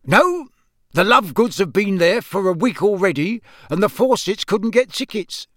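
A man voicing a genial, boastful middle-aged wizard father in an audiobook, with a booming, hearty, colloquial way of talking. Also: very animated, normal speed, fairly bright tone, dry recording, clean studio sound. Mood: brisk, hearty.

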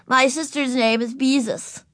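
A woman voicing a bold, loud, stubborn little girl of four, in a high childlike voice. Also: fairly even intonation, unhurried, no echo, studio quality. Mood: proud.

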